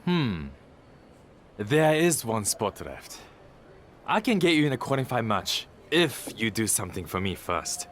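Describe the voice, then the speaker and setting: A man's voice: fairly low pitch, very animated, measured pace, fairly bright tone, a little hiss or hum. A man playing an arrogant star wrestler in a video game cutscene, condescending, haughty and smug. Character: arrogant, condescending star wrestler who thinks himself above everyone.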